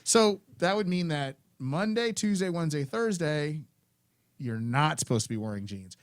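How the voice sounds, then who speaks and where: A man's voice: fairly high pitch, lively intonation, normal speed. A man hosting a school's afternoon announcements, friendly and instructive, repeating his points to students.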